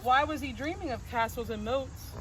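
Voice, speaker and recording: A woman reading a rhyming picture book aloud in a gentle sing-song cadence, recorded outdoors on a farm. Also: high voice, moderate pace. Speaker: storybook reader. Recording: noisy outdoor recording with crickets.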